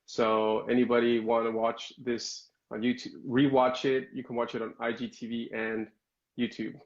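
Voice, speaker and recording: Man, host, monotone, hesitant fillers, lo-fi sound. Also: medium pitch. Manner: even-toned, halting with fillers. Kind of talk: casual live-stream hosting.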